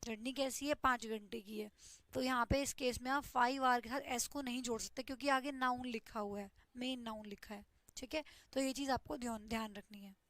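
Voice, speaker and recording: A woman's voice: a little high, steady tone, brisk, fairly bright tone, dry recording. A woman teaches an online English grammar class, explaining step by step and checking in with "ठीक है". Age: 20s.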